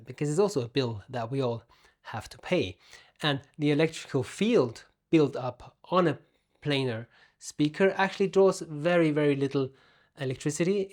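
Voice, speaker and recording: Male gear reviewer, pitch a bit above average, explanatory delivery with deliberate, emphatic phrasing. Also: darkish tone. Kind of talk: explanatory product review talk. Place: room at home.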